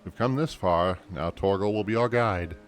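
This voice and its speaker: A man's voice: low-pitched, normal speed, faint music behind, natural voice. A man voicing a brooding, earnest warrior far from home in a fantasy game, solemn and dramatic. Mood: earnest, resolute.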